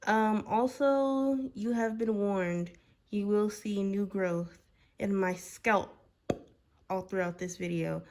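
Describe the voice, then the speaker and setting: A woman's voice: medium pitch, slow pace, darkish tone. A 22-year-old woman talking casually about herself in a get-to-know-me vlog while doing her makeup, with lots of "like" and "girl". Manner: chatty, filler-heavy, says "like" and "girl" a lot. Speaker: vlogger.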